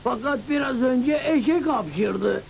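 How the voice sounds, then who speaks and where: An actor playing a flustered, humbled old schoolteacher in a cartoon, creaky, hesitant and sheepish. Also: male voice, extremely high pitch, animated, takes it slowly, heavily acted. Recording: hissy old cartoon soundtrack.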